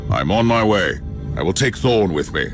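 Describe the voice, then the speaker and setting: Stern game inquisitor, deep voice, authoritative, curt, cold, loud music underneath. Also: male voice, lively intonation, normal speed. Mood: resolute. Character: stern, commanding male Inquisitor, cold and contemptuous. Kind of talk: dramatic video game voice acting.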